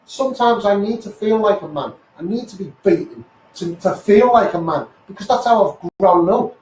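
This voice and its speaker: A Scouse man with a notably high voice rants like a street campaigner on a livestream. He is combative and blunt, swears, and hammers phrases by repeating them. The sound is echoey and distant, with clear noise behind him. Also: darkish tone. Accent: Scouse (Liverpool). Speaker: campaigner, live streamer. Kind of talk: impassioned live rant to social media.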